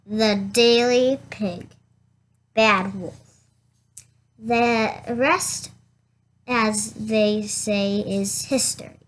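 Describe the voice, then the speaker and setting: Someone reading a children's storybook aloud in a halting way, stumbling over words and going back over them. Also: medium pitch, steady tone, a bit bright. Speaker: reader of a children's picture book.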